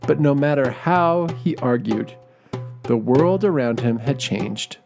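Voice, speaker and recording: A man narrating a children's folktale and asking discussion questions, reading gently and expressively. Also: medium pitch, animated, measured pace, gravelly. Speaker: storyteller. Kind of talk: scripted children's story narration. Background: music.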